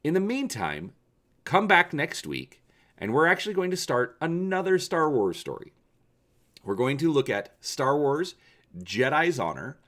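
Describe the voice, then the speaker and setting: A man hosting and narrating a storybook read-through, speaking with expressive, emphatic presenter delivery. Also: mid-range voice. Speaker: channel host and story reader.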